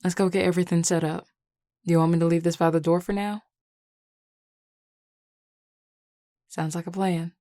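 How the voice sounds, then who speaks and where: Flirty Southern US werewolf played by a woman, low-pitched, drawling and affectionate, close dry sound, intimate audio roleplay. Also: level tone, fast, warm tone, dry recording, lightly acted. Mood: playful, teasing. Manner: drawling, teasing, sighing, casual and affectionate. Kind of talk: intimate audio roleplay, one-sided conversation.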